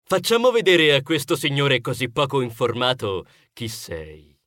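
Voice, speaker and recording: A man's voice: mid-range voice, huge pitch swings, measured pace, fairly bright tone, no room sound, studio quality. A man voicing a smug, brash male rodent neighbour in a storybook, boastful and condescending, with cutesy turns of phrase. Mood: soothing, cutesy.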